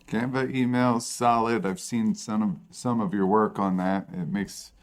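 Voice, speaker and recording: A man co-hosting a podcast in casual chat, with a low bassy voice and a self-deprecating, rambling way of talking. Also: fairly even intonation, measured pace, clean tone. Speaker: podcast co-host.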